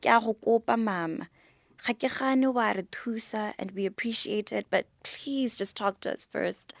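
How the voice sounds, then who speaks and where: A woman's voice: a little high, normal speed, vocal fry, no echo. A woman with a Botswana accent acting a proud, frustrated young mother protesting to her own parent in a radio drama. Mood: pleading, firm. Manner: assertive, protesting.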